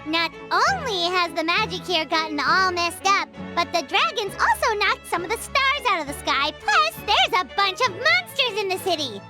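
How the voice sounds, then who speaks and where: A woman voicing a tiny, chirpy, childlike fairy companion in a video game, expressive and exclamatory. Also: moderate pace, few pauses. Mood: curious, concerned. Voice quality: squeaky, childlike. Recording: music behind the voice. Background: tinkling childlike music.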